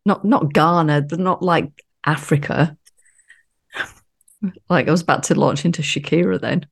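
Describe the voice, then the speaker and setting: Female podcast co-host, very low and gravelly voice, playful and jokey. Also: measured pace. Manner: jokey, playful, swears casually. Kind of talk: casual podcast chat.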